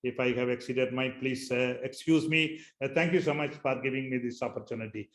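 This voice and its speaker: Halting Indian man with a Tamil background. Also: mid-range voice. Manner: halting, many pauses and fillers. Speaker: school founder, webinar speaker. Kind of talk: webinar presentation. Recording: echoey room on a webinar call.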